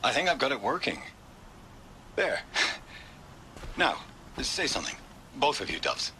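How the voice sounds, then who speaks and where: Tender tinkerer dad: lively pitch, bright tone, recorded playback, faint noise. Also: male voice, a little slow. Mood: tender, pleased. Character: fond, tender craftsman father on an old recording.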